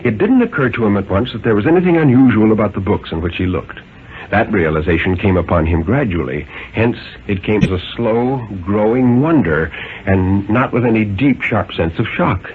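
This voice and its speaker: A man narrating a story as a 1950s radio dramatic reading, in a theatrical, measured, suspenseful manner. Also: fairly low pitch, expressive, narrow, tinny audio, a little hiss or hum. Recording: thin, band-limited 1950s radio recording with music.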